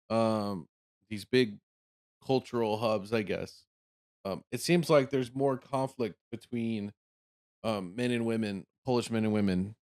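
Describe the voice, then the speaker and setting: A man's voice: on the low side, dry recording, clean studio sound. A man in his late twenties hosting his channel, thinking aloud and rambling as he reacts to clips. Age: 20s. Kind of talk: casual commentary.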